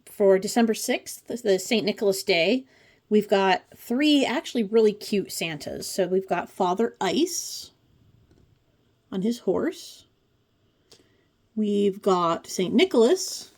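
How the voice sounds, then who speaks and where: A woman's voice: on the high side, darkish tone. A woman in her forties hosting a craft video, talking casually through a flip-through with chatty, wry asides. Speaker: craft channel host. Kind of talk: casual commentary on a pattern book flip-through.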